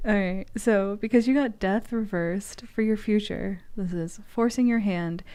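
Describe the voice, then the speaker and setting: A woman with a medium-pitched voice talks casually as she does tarot readings for a stream. She is playful and swears easily, switching between chatty asides and card interpretations. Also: measured pace, mellow, clear, resonant tone. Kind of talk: casual chat mixed with reading aloud from a tarot book.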